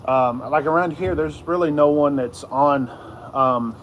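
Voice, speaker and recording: A middle-aged man giving a casual, unscripted hobby explainer, halting and often repeating himself as he circles back to his points. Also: a little high, muffled recording. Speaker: radio hobbyist video host. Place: outdoors in a yard. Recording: outdoor noise with insects and traffic.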